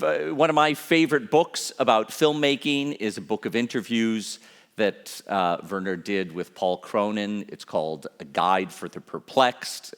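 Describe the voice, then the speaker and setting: Guy with a lowish voice introducing someone at a festival, lots of uhs and ums, long hall reverb. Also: a bit bright. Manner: halting, frequent ums and uhs. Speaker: festival documentary programmer introducing a guest. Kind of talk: unscripted spoken introduction on stage. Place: large studio auditorium, on stage.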